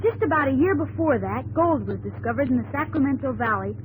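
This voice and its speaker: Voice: high-pitched, animated, fairly quick, a little hiss or hum, low-quality recording. An earnest young gold-rush narrator. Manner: earnest storytelling. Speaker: narrator of the story. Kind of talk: 1950s radio drama narration. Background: music.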